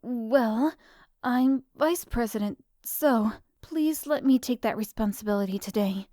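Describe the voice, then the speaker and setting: Woman as high-voiced, shy, anxious schoolgirl. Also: measured pace, warm tone, dry recording, clean studio sound, lightly acted. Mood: nervous, hesitant. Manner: hesitant, stammering, polite. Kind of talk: anime visual novel fan dub acting.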